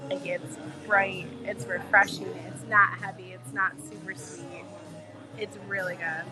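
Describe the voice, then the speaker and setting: A woman travel vlogger with a cold, her voice a bit hoarse, chatting in a bubbly, gushing way to her live viewers from a bar. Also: mid-range voice, steady tone, a little slow. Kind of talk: casual live-stream chat with viewers. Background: music playing in the bar.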